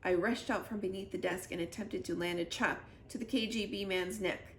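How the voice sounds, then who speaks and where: Creaky-voiced woman reading in an echoey room. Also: medium pitch, steady tone, normal speed. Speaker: school library storyteller. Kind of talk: expressive children's book read-aloud. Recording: roomy echo with clear background noise.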